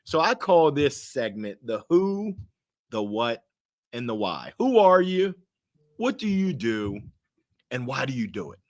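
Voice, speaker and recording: A man's voice: medium pitch, sing-song, measured pace, no room sound. Playful hyped-up male podcast host. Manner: playful and hyped, teasing catchphrases. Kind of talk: casual interview chat.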